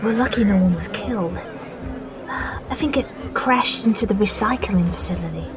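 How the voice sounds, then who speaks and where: A woman's voice: medium pitch, moderate pace, far from the mic, music in the background, natural voice. An actress playing a sceptical woman bystander in a crowd who grumbles dryly. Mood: worried. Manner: dry, grumbling.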